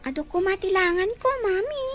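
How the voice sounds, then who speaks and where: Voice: extremely high pitch, lively intonation, fairly quick, loud music behind the voice. A performer playing a bored, complaining child in an Ilocano audio drama, whiny and pleading, on a noisy recording. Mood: restless, whiny.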